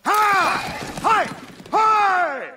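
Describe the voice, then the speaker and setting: An actor playing a bold, glory-hungry Roman commander in a video game, haughty and declamatory. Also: male voice, very high-pitched, animated, very slow, over music, heavily acted. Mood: rousing, excited. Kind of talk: dramatic video game acting.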